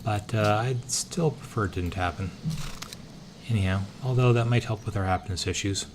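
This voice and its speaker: A man with a hoarse, somewhat low voice narrates his moves in a strategy game. He thinks aloud in an easygoing way, pausing often on filler sounds. A steady hiss of noise runs under the recording. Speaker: let's-play gamer. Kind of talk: casual strategy game commentary.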